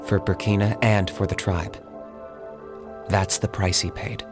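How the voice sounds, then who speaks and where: An actor voicing a calm, reserved young warrior in a video game, speaking in a measured, dry way. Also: male voice, lowish voice, close to an everyday voice. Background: game music.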